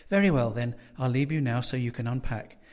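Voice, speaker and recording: A man's voice: mid-range voice, a little fast, a little mellow, lightly acted. A man voicing a dotty, overly sweet older landlady who gushes and fusses over her guest. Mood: coaxing. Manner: gushing, fussy, coaxing.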